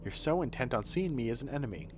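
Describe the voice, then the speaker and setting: A man's voice: mid-range voice, lively intonation, fast, over music, close to an everyday voice. A man voicing a haughty, condescending physician with a dry, formal manner, reading game dialogue. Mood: disdainful. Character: haughty male physician and scientist, dry and clinical.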